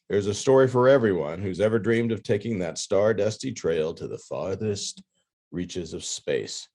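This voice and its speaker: A man's voice: fairly low pitch, darkish tone, husky. A man hosting a podcast, reading scripted announcements with casual, tongue-in-cheek asides. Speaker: podcast host and book editor.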